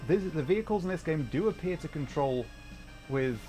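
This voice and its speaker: A man's voice: a little high, lively intonation, a little fast. A man giving casual gaming livestream commentary, rambling and thinking aloud as he plays. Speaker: livestream host, gamer. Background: music.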